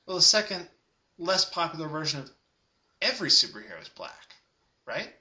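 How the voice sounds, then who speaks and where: A man's voice: a little high, sing-song, brisk, bright, forceful tone, dull audio, a little hiss or hum. A man giving sarcastic review commentary in a dry, emphatic way. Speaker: comic reviewer.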